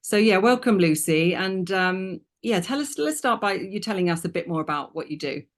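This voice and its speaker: A woman's voice: medium pitch, expressive, moderate pace. A woman hosting an interview, who welcomes her guest in a friendly, enthusiastic way with many hesitations. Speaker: host. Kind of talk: casual interview.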